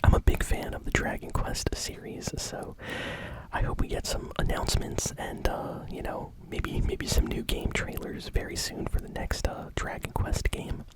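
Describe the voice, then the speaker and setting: ASMR news host for gaming content: fully whispered, informal, enthusiastic read. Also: normal speed. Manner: whispering throughout.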